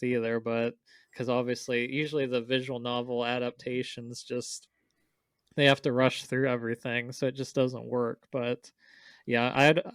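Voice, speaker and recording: A man's voice: medium pitch, clean tone, no echo. A man co-hosting a podcast, chatting casually about games in a conversational, opinionated way. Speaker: podcast co-host.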